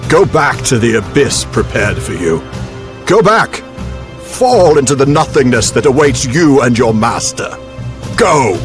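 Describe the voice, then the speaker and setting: A man's voice: mid-range voice, sing-song, measured pace, over music, pitched above the performer's own voice. A man voicing a stern, defiant, commanding old wizard in a dramatic fantasy book reading.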